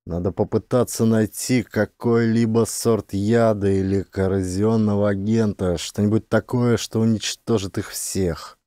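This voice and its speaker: A man plays a weary officer in a science fiction audiobook. He is practical and controlled, with a low, mellow voice. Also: level tone, a little slow, hardly any pauses, dry recording, studio quality, close to an everyday voice. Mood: grim, controlled. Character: weary, practical male officer facing danger.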